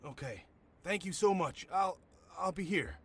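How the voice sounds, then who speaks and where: A man's voice: sits high, expressive, measured pace, fairly bright tone, slightly rough, no room sound, full character voice. A man playing a nervous, chatty comic shop owner in an audio drama, stammering and wry. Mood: shaken, anxious. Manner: nervous, stammering, wryly talkative. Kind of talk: naturalistic audio drama acting.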